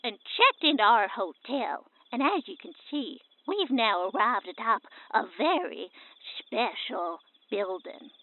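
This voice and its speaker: An excitable wife hunting UFOs, bossy and insistent. Her high voice swings widely as she hushes and presents to camera. It all comes through thin, phone-like camcorder audio, as comedy radio drama. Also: measured pace. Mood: bossy, presenting. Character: bossy, excitable amateur UFO hunter wife filming a video.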